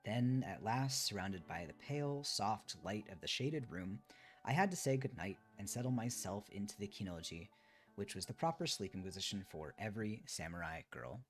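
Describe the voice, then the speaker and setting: Male streamer, lower voice, measured book narration with clear guitar backing. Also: animated. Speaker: streamer reading a book aloud. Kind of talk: live read-aloud of a memoir, with casual asides. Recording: music behind the voice. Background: guitar music.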